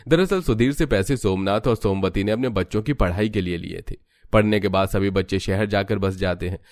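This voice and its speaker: Low-voiced male storybook narrator. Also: a little mellow. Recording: music under the voice.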